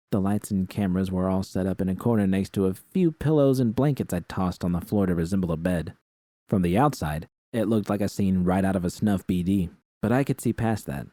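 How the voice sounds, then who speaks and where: A man's voice: low voice, warm tone, no room sound. A man reading a first-person story aloud as scripted narration. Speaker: narrator reading fan fiction.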